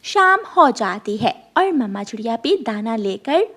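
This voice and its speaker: A woman narrates a children's fable in a scripted storytelling style. Her voice sits fairly high. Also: very animated, unhurried, a bit bright.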